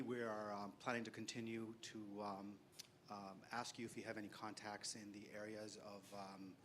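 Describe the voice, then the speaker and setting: A lower-voiced man closes a startup pitch and asks the audience for contacts, halting often between phrases. A faint low rumble sits under his voice. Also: fairly bright tone. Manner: halting, with many fillers. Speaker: startup team member pitching. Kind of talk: closing a presentation.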